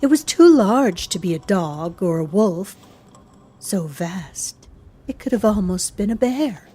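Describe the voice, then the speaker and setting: A woman reading a scripted horror story as narrator, in a measured, ominous way. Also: medium pitch, expressive, mellow, breathy edge, soft background music.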